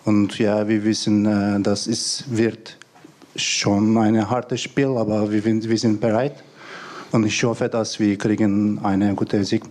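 A young man from Turkey with a Turkish accent, a footballer answering haltingly in an interview. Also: lowish voice, flat delivery, darkish tone. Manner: halting, non-native phrasing. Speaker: professional footballer. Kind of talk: sports interview.

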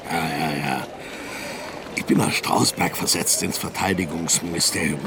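An actor playing a brisk, formal army major. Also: male voice, deep voice, steady tone, vocal fry, background noise. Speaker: army officer.

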